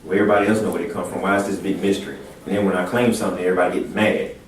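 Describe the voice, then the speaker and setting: A man's voice: low voice, fairly even intonation, rapid-fire. Man talking in an echoey room. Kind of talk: casual religious discussion. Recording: echoey room, distant mic, noisy.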